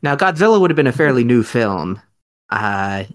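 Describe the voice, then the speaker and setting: A man hosting a podcast, talking in a casual mock-scholarly way, halting and rambling as he works ideas out. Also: mid-range voice, very animated. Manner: halting, rambling, thinking aloud. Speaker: podcast host.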